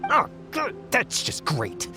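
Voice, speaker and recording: A man's voice: very high-pitched, wildly swooping pitch, takes it slowly, over music, full character voice. A man playing a greedy, flippant, impatient treasure seeker in a video game, theatrical and dismissive. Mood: annoyed, impatient. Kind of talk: English video game voice acting.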